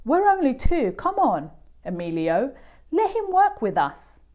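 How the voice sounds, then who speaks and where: A woman's voice: sits high, wildly swooping pitch, unhurried, mellow, pitched above the performer's own voice, exaggerated voice. A woman voicing a kind, welcoming schoolboy from a picture book, informal and encouraging. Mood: pleading.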